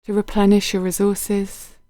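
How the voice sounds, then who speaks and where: A woman guiding a body scan meditation in a gentle, unhurried, soothing manner, letting her phrases end softly. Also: mid-range voice, completely flat delivery, dry recording, clean studio sound. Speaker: meditation guide.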